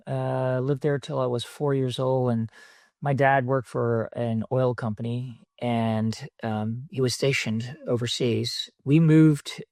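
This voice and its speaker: A man in his fifties, a podcast guest, recalling his past in a reflective, unhurried way with many fillers. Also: mid-range voice, vocal fry, a little mellow, no echo, clean studio sound. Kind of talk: conversational interview answers.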